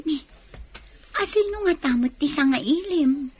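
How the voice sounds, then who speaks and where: A woman's voice: sits high, lively intonation, measured pace. A Filipino woman acting a sensitive, earnest young daughter in an emotional radio drama. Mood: wistful, soft. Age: young adult. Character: sensitive young daughter pleading against an arranged marriage.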